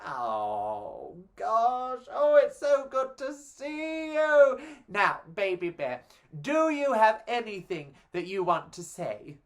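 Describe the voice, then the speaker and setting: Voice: mid-range voice, big pitch swings, slow pace, voice pushed up. A storyteller acting young fairy-tale girl, boastful, gushing, self-important, grandiose, children's storybook speechmaking. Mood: gushing, excited.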